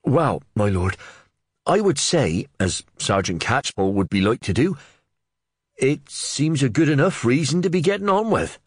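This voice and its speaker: A man's voice: mid-range voice, very animated, moderate pace, slightly rough, no room sound, studio quality, voice pushed up. A man doing a cheeky young deputy. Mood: earnest. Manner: wry, joking asides. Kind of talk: audiobook character voice.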